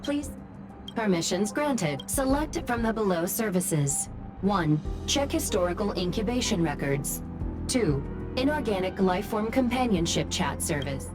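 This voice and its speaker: Woman as a very slow-paced formal station computer. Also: mid-range voice, lively intonation, close to an everyday voice. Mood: formal, impersonal. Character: female automated space station service system. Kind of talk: scripted game voice acting. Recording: loud game music underneath. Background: game music.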